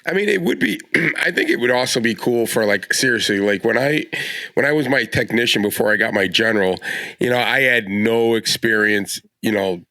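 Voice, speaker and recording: A man's voice: medium pitch, animated, brisk, sharp tone, no echo. A man hosting a podcast, chatting casually and putting questions to his guest. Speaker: podcast host. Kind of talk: casual chat, asking questions.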